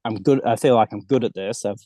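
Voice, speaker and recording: A man from the UK talks about coaching kids as an interview guest. His voice sits fairly low. He speaks casually, often repeating words as he restarts, and the sound is dry with no room echo. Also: fairly quick, mellow. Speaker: golf coach abroad, guest.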